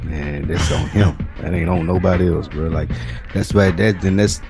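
A man's voice: sits low, fairly even intonation, normal speed. An American man co-hosting a casual podcast, speaking bluntly and emphatically in slangy everyday talk. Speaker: podcast co-host. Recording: music clearly playing underneath. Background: music.

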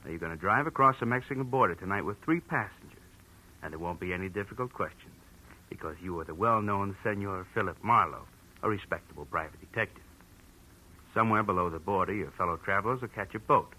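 A man's voice: low-pitched, quick pace, pushed and bright, poor audio. An actor playing a cocky, menacing crook in a 1940s radio crime drama, taunting and mock-friendly as he gives orders. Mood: smug, threatening. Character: cocky, menacing male gunman, smooth-talking small-time crook.

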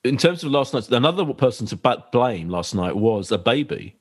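A man's voice: mid-range voice, very animated, moderate pace, husky. A man hosting a casual football podcast, chatty and leading the talk. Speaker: podcast host.

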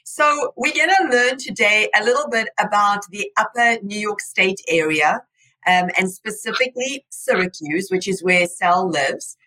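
A woman's voice: medium pitch, unhurried, pushed and bright, low-quality recording. A woman hosting a podcast interview, upbeat and encouraging with her guest. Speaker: podcast host. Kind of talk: casual interview.

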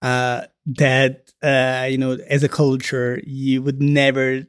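A man's voice: mid-range voice, monotone, slow, smooth, dry recording, clean studio sound. A man co-hosting a podcast in casual conversation, hesitant and restarting words with many "uh"s. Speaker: podcast co-host.

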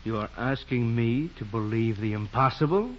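A man's voice: mid-range voice, moderate pace, slight background noise, lightly acted. A man playing a skeptical, formal consulting physician in a 1940s radio drama, with an incredulous manner. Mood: puzzled, incredulous. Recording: muffled old radio broadcast.

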